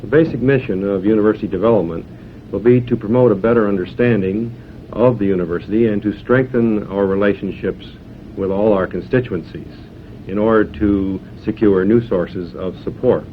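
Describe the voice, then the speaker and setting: A man's voice: fairly low pitch, normal speed. A man giving a formal prepared statement as a university official, on 1970s film sound. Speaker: university development director. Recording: thin phone-like 1970s film sound, faint noise.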